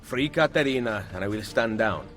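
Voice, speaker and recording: An actor playing a resolute, wry Renaissance assassin in a video game, calm and measured. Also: male voice, on the low side, music in the background, natural voice. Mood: cool menace. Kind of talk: video game cutscene acting.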